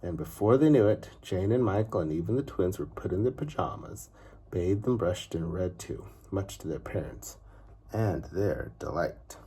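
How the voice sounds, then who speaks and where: A man narrating a children's story expressively, with deliberate phrasing and dramatic pauses. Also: deep voice, expressive, warm tone, breathy edge.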